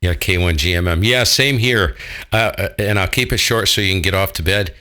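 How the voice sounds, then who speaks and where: Deep, bright-voiced American radio ham. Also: male voice, brisk. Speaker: amateur radio operator and video maker. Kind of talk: casual radio chat.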